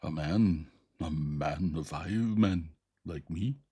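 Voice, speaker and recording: A man voicing an excited ape-like beast-man who gabbles his words. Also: extremely low pitch, takes it slowly, breathy edge, heavily acted. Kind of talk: audiobook reading.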